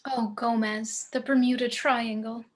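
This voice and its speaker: A languid, macabre lady of the house, as a woman acts her out in a table read. Her voice sits fairly high. She is doting and theatrical, drawing her phrases out. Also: little pitch movement, measured pace, close to an everyday voice. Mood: wistful, romantic. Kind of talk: film script table read.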